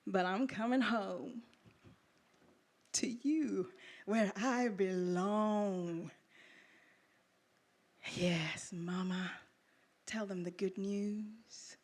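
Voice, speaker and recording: A woman's voice: medium pitch, extremely slow, long pauses. A woman performing spoken-word poetry live, drawing out her phrases dramatically. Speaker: poet performing own poem. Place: live storytelling event in a venue.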